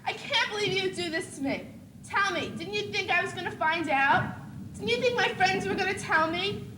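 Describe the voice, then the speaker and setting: A woman's voice: very high-pitched, expressive, normal speed. A young woman playing a furious, jilted teenage girlfriend, accusing and indignant, in an amateur stage comedy. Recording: echoey noisy 1980s stage videotape.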